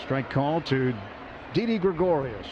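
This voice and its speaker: A man calling a baseball game as the radio play-by-play announcer from a ballpark booth, with brisk, measured play calling. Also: on the high side, sing-song. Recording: muffled radio broadcast with crowd noise.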